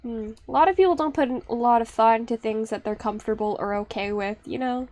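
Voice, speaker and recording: High-pitched young woman streamer, rambling. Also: fast talker, a little mellow. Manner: rambling, self-interrupting, laughs often. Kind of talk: casual live-stream chat.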